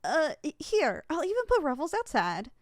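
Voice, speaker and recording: Woman playing a high-voiced, gentle giantess. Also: wildly swooping pitch, normal speed, very noisy. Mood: surprised, calming. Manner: soothing, folksy, gently reassuring. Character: kind, gentle young giantess homeowner comforting a tiny person. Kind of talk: ASMR roleplay.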